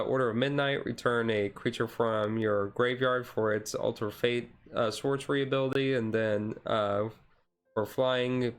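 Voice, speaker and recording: A man streaming a casual ASMR-style card showcase, easygoing and halting as he reads out cards, his voice a little rough and taken gently. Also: on the low side. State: voice slightly rough, being taken easy.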